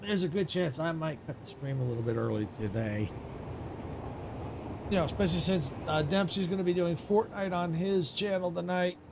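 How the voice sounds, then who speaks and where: A tired man with a raspy voice, chatting casually and muttering to himself while streaming a building game. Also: on the high side, normal speed, noisy background. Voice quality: raspy, gravelly. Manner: mutters to himself, self-critical, rambling. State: tired, yawning. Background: game music.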